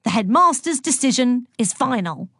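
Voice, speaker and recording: A woman voicing a pompous, bullying male schoolteacher in an audiobook, forceful and gloating, stretching out exclamations. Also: medium pitch, animated, normal speed, gravelly, slightly rough, no echo, studio quality. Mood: furious.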